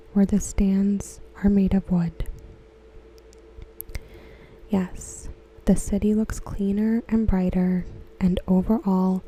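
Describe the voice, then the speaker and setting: A woman reading aloud softly for ASMR, gentle and hushed, with a breathy voice that often slips into a whisper. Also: mid-range voice, slight background noise, low-quality recording. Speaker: ASMR host. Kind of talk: ASMR, soft-spoken reading of discussion comments.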